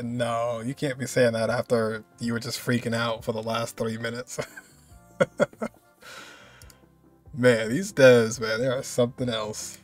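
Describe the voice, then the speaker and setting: A man in his twenties giving casual, amused reaction commentary as a video host, with muttered exclamations. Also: medium pitch. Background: music from the video he is watching.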